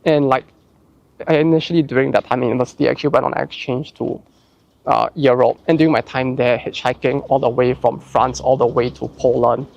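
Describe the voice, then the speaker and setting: A man's voice: medium pitch, fairly even intonation, a little fast, creaky, darkish tone, no echo, muffled recording. A young man from Singapore with a Singaporean English accent, chatting casually as he answers viewer questions, rambling with lots of "like" and restarts. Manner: rambling, heavy use of "like", frequent restarts. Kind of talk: casual chat.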